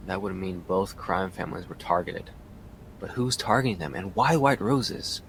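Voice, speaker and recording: Low, gravelly detective. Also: male voice, animated, moderate pace, a little hiss or hum, poor audio. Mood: grave, puzzled. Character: weary, driven male police detective recording his case. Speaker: detective narrator. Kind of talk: audio drama narration and dialogue.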